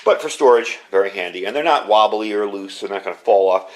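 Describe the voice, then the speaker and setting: A man's voice: on the low side, expressive, brisk. Middle-aged American man, casual reviewer. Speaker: product reviewer, amateur radio hobbyist. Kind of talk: casual product review to camera.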